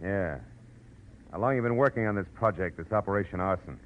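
A man's voice: low-pitched, a little fast, narrow, tinny audio, lightly acted. A male actor playing a hard-boiled, wisecracking American private detective in an old radio drama, with a clipped, tough, slangy delivery. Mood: tough, probing. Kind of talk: old-time radio drama acting with first-person narration. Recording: noisy vintage radio recording.

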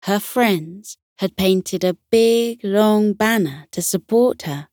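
A woman narrating a scripted children's bedtime story in a gentle, soothing storytelling manner. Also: mid-range voice, slow, no echo, clean studio sound.

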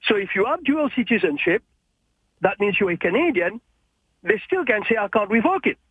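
A male caller with a high, husky voice pressing his point and repeating himself. His pitch swings a lot as he talks, and he comes through a thin, tinny phone line. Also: fairly quick, no echo. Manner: animated, insistent, repeats points.

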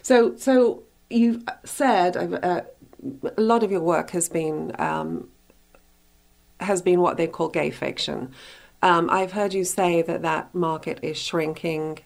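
A woman's voice: fairly low pitch, takes it slowly, creaky, a little mellow. A woman hosting a conversational author interview, asking questions and commenting. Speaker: radio show host.

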